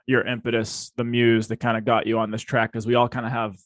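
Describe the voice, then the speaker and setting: A man's voice: mid-range voice, monotone, a little fast, no echo, studio quality. A man hosting a podcast interview who asks long, rambling questions in an encouraging way. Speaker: podcast host. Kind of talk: casual interview.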